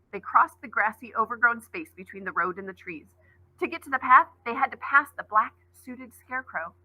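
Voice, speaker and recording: A woman's voice: a little high, bright, forceful tone, slightly rough, no echo, rough recording. A woman teacher reading a novel aloud expressively, adding brief asides.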